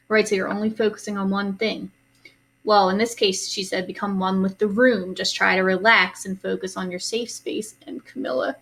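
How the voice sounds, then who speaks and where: A woman's voice: mid-range voice, low-quality recording. A woman reading a storybook aloud to young children expressively, adding simple, casual explanations.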